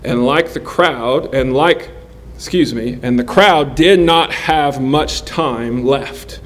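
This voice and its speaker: A man in his forties preaching a sermon in an earnest, measured way, pausing between phrases. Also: mid-range voice, a bit bright. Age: 40s. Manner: earnest, measured delivery with pauses.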